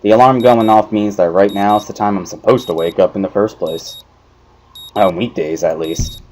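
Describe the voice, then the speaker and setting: Lower-pitched man narrating a story. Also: fairly even intonation, normal speed, darkish tone, rough recording. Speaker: narrator of a let's play dramatic reading. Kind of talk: dramatic first-person story narration.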